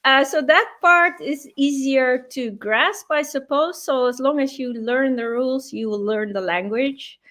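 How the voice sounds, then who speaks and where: A woman's voice: high-pitched, measured pace, few pauses, a bit bright. A woman whose first language is Dutch, a guest in a casual interview, explaining things patiently with many fillers.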